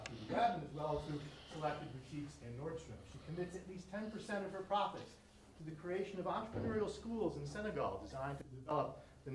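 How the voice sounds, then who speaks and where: A man introduces a guest speaker to a lecture audience, listing her credentials with clear admiration. His voice sits somewhat high for a man and comes from well back in a roomy, echoing hall. Faint room noise sits underneath. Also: far from the mic. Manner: admiring, reads a list of credentials. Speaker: event host introducing a speaker. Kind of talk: prepared introduction speech.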